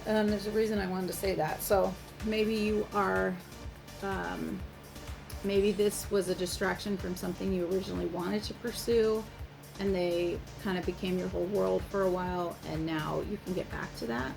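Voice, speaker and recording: A woman's voice: medium pitch, flat delivery, normal speed, gravelly, over music. A woman tarot reader speaking directly to the listener in a casual, reassuring advice monologue, swearing freely.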